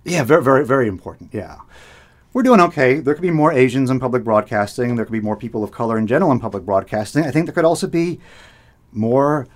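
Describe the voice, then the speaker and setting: A man's voice: medium pitch, expressive, fast talker. A middle-aged man from the United States, talking casually in an interview, rambling with restarts, fillers and frequent laughs. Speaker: radio host, interviewee.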